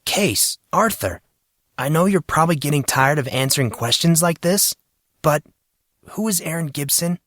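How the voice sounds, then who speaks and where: A man's voice: fairly high pitch, animated, moderate pace, a bit bright, dry recording, studio quality, pitched above the performer's own voice. A man voicing a naive, bewildered fourteen-year-old schoolboy in an audiobook drama, with an eager, questioning manner. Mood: puzzled, pleading.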